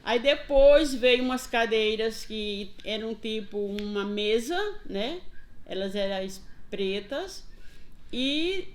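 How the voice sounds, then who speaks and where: An older retired schoolteacher with a Northeast Brazilian accent speaks in a somewhat high voice. She recounts her past reflectively, slowing down to stress what matters. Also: expressive. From Paraíba, Brazil. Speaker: retired teacher, interviewee. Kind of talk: casual interview, recounting memories.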